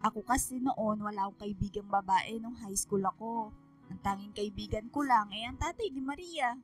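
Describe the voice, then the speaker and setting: A woman's voice: mid-range voice, moderate pace, close to an everyday voice. A woman playing a long-suffering, emotional single mother with a Filipino accent in a radio drama, motherly and scolding. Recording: music bed underneath.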